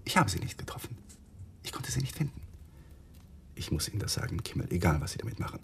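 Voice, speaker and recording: An actor playing a guilt-ridden, stubborn young lawyer in a radio crime drama, speaking earnestly. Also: male voice, on the low side, big pitch swings, fast talker, gravelly, fairly bright tone, in a whisper, exaggerated voice. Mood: anguished, confessional.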